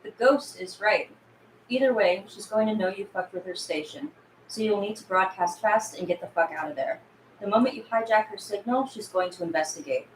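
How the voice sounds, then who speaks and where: A woman's voice: medium pitch, moderate pace, natural voice. A woman playing a cynical, shrewd underground boss with a sardonic, hard-edged, profane delivery in a zombie film script read. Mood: businesslike, dry. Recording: roomy, echoey with room noise.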